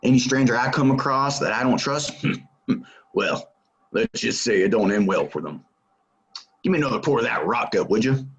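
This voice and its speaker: An actor playing a menacing, distrustful gunslinger stranger in a Western audio play, talking in a terse, probing way. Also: male voice, mid-range voice, lively intonation, moderate pace, dull audio, close to an everyday voice.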